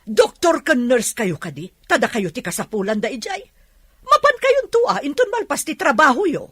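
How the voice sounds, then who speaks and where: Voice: high-pitched, sing-song, moderate pace, bright, forceful tone, no echo, studio quality, full character voice. An actor with a Filipino accent playing a stern, unsympathetic boss in a radio drama. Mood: scolding.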